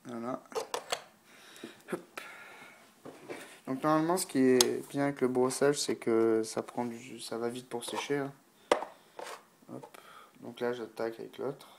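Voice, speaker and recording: A man's voice: medium pitch, steady tone, measured pace, gravelly, long pauses, very noisy. A man with a stuffy, blocked nose from a cold, unhurriedly talking through a painting tutorial and thinking aloud with many fillers. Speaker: miniature painting hobbyist.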